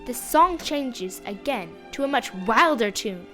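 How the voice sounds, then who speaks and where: A young female narrator reading a scripted fantasy story aloud with expressive storybook delivery. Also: fairly high pitch, measured pace, soft background music.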